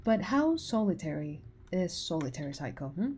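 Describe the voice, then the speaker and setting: A woman's voice: lowish voice, sing-song, normal speed, a little mellow, slight background noise. A woman in her twenties chatting casually with her livestream viewers, teasing and full of filler words. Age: 20s. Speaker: animator streaming to chat.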